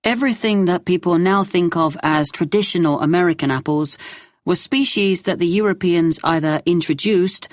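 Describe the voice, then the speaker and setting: A woman playing an earnest British university student in a scripted exam dialogue. Her voice sits a little low, and she explains things practically, in a dry recording with no room sound. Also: normal speed, no room sound, lightly acted. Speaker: student presenter. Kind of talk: scripted listening-test dialogue acting.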